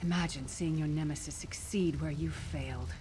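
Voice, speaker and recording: An actress playing an earnest, somber, loyal warrior companion in a fantasy video game, speaking in a serious, measured way. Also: female voice, sits low, steady tone, natural voice. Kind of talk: video game voice acting, story dialogue. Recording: clear background game noise.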